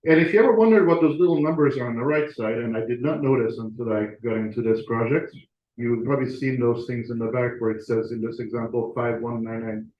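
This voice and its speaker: A man's voice: medium pitch, a little fast, slight background noise. Man, club talk, casual presenter, fillers and restarts, roomy echo, dull lo-fi sound. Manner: conversational with frequent fillers and restarts.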